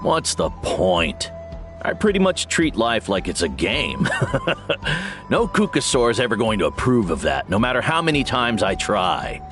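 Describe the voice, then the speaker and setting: A man's voice: fairly low pitch, sing-song, unhurried, over music. A man playing a carefree, self-deprecating young slacker in a video game, laid-back and casual. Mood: dismissive, resigned.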